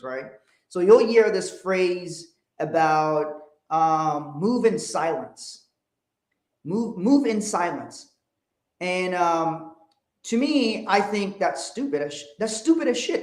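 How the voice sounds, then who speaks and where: A man from Liberia, hosting a casual livestream about money and business, talking emphatically, repeating phrases and swearing bluntly. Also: a little high. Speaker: financial adviser host. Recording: echoey room.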